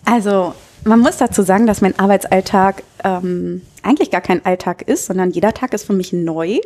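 A woman's voice: medium pitch, normal speed, darkish tone. A woman in her thirties from Berlin, chatting casually and openly as the guest in an interview. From Berlin, Germany. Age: 30s. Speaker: interview guest, bar owner.

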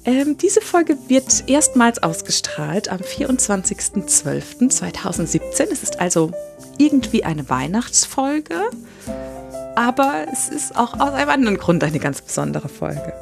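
A woman's voice: on the high side, very animated, unhurried, gravelly, music in the background. A woman hosting a podcast, chatting casually and asking the guest friendly questions. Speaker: podcast host and interviewer.

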